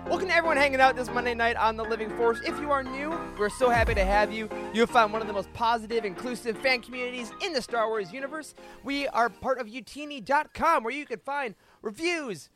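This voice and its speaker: A man's voice: very high-pitched, pushed and bright, dry recording. A man hosting a casual panel podcast in an enthusiastic, playful manner, close on the microphone. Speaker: podcast host. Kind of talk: casual, excitable panel chat.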